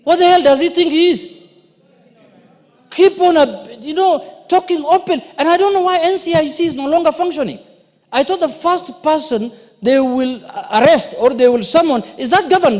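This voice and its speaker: Kenyan guy mp, really high voice, indignant debate speech, big echoey hall. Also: animated, normal speed. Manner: indignant, emphatic, repetitive. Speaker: member of parliament. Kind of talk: impassioned parliamentary debate speech. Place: indoor parliament chamber. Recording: echoey large debating chamber.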